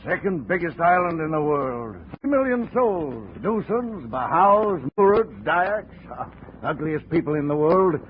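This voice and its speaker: An actor playing a cynical, weathered ship's captain in a 1950s radio drama, with a salty, sardonic, colloquial way of talking. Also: male voice, a little high, very animated, measured pace. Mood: grim, nosy. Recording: muffled, noisy 1950s radio recording.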